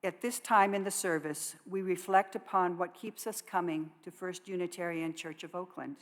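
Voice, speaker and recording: A woman with a slightly low voice reads notices to a congregation. Her delivery is measured and scripted, as a worship associate would give it. Long reverb from a large church hall surrounds the voice. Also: fairly even intonation. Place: church sanctuary.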